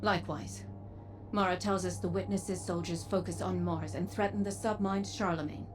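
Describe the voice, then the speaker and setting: A woman's voice: lowish voice, fairly even intonation, normal speed, natural voice. Actress doing stern blunt soldier woman in a game, terse orders, music going behind her. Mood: businesslike. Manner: terse, commanding. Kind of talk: video game voice acting.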